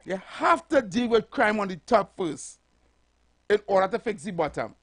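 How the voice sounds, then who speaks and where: A man's voice: sits high, lively intonation, moderate pace. A man with a Trinidadian accent hosting a call-in radio show, arguing emphatically and often asking "right?". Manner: emphatic, repeats words while searching, checks in with "right?". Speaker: radio host. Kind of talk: call-in talk radio discussion.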